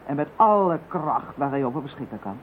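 A confident psychic witch in an old radio play, her lively voice rising and falling as she speaks with firm certainty. The sound is thin and tinny, with faint hiss under it. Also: extremely low pitch, fairly quick. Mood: assured. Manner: confident, matter-of-fact, frantic when alarmed. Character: shrewd self-assured woman psychic called a witch. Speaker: psychic adviser. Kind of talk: radio drama acting.

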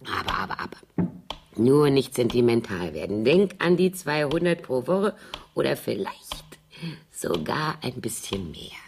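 A woman's voice: very deep voice, creaky, darkish tone, a little hiss or hum, full character voice. An actress playing a drunk, sentimental woman in a radio play, rambling and coquettish. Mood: tipsy, maudlin. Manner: rambling, muttering to herself, coquettish. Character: tipsy, remorseful woman drinker, sentimental and chatty.

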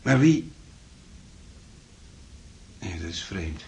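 A man's voice: low voice, very animated, drawn-out words, gravelly, a little mellow, exaggerated voice. An actor playing an agitated husband in a Dutch radio play, indignant and questioning, then soothing to his wife. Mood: puzzled, insistent. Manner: questioning, insistent.

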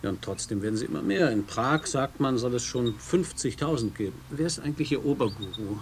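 An actor playing a skeptical, wry American reporter who questions and narrates in a radio play. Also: male voice, medium pitch, expressive, a little fast, a little hiss or hum, natural voice.

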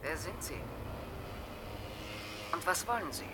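A woman's voice: fairly low pitch, animated, slow, pushed and bright, a little hiss or hum, heavily acted. An actress playing a wary, curt, haughty lady on the telephone in a radio drama. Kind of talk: 1980 German radio drama acting.

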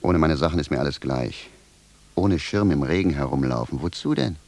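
Gravelly, deep-voiced grumbling shoemaker. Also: male voice, lively intonation, normal speed, darkish tone, exaggerated voice. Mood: sullen, resigned. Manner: gruff, blunt, grumbling. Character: gruff, stubborn, exasperated naked shoemaker raised from the dead. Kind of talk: German radio play acting.

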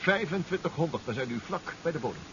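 A muffled, clearly noisy old radio play. A man plays a self-assured submarine-inventor professor, precise and reassuring, with lively swings of pitch. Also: fast, natural voice. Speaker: actor in a radio drama. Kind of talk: 1970s radio drama acting.

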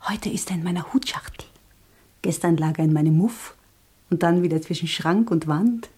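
An actress playing a secretive, chatty young variety dancer in a radio drama, confiding and soft-spoken. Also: female voice, on the low side, moderate pace, natural voice. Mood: inviting, secretive. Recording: long reverberant room sound.

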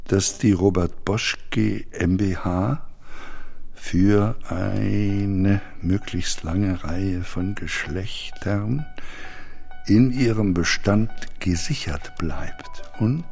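An actor playing an elderly company founder, reading his formal words in an earnest, deliberate, solemn way for a documentary. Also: low voice, gravelly, a little mellow, slightly rough, soft background music, exaggerated voice. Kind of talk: dramatised reading of historical letters and testament.

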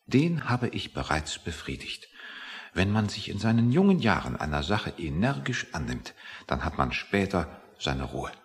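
Grumpy pedant, radio satire: low, gravelly male, lecturing with swooping emphasis. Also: big pitch swings, moderate pace. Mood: pompous, musing. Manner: pedantic, lecturing, easily offended. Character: grumpy, self-satisfied, pedantic man waiting outside a meeting room. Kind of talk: satirical German radio play dialogue. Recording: reverberant hall-like room.

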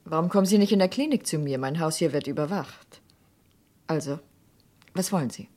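An actress playing an anguished, probing doctor's wife in a German radio crime drama, speaking in a measured way. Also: female voice, low voice, mellow. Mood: wary, probing.

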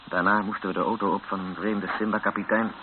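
A man's voice: lowish voice, little pitch movement, fast, close to an everyday voice. An actor in a radio play as a distressed, bitter male captive. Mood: grim. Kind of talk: radio drama acting. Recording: tinny old radio recording, faint noise.

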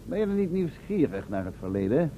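An actor playing a professor's wry, coaxing assistant in a radio play, persuasive, teasing and somewhat formal. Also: male voice, on the high side, big pitch swings, brisk, warm tone. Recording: muffled, phone-like old radio recording, faint noise.

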